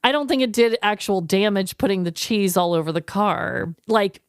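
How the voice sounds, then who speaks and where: A woman co-hosting a podcast in casual comedic chat, with a playful, teasing and animated way of talking. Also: mid-range voice, moderate pace, smooth, no room sound, clean studio sound. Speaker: podcast co-host.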